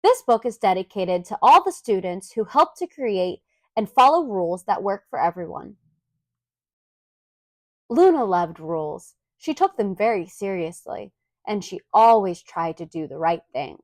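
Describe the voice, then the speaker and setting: A woman reads a children's story aloud in an expressive, clearly enunciated storytelling manner. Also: mid-range voice, unhurried, no echo, clean studio sound. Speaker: storybook reader.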